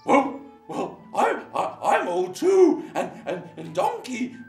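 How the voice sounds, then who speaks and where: A man's voice: extremely high pitch, wildly swooping pitch, takes it slowly, vocal fry, echoey room, soft background music, pitched above the performer's own voice, heavily acted. A man voicing a friendly, eager old dog in a children's story, with a bouncy, stammering delivery.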